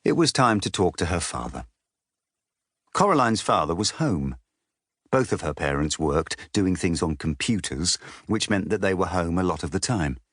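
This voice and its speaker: Animated, low-voiced male storybook narrator. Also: moderate pace, slightly rough, no room sound, clean studio sound. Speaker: audiobook narrator.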